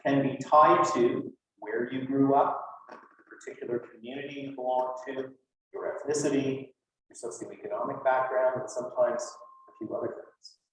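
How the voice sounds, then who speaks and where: A man's voice: medium pitch, fairly even intonation, moderate pace, a little hiss or hum. A man with a Western Canadian accent giving an informal academic talk, conversational, with rhetorical questions and dry asides. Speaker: conference speaker, linguist. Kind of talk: informal academic lecture to an audience. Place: lecture room at a conference. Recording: very echoey room, distant mic.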